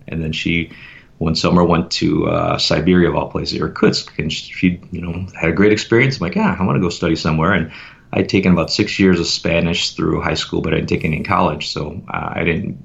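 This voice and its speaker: A man telling his story as a podcast guest in a relaxed, reflective way, pausing often with fillers. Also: low-pitched, gravelly, lo-fi sound. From United States. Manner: reflective, meandering with many fillers. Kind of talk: casual conversational interview.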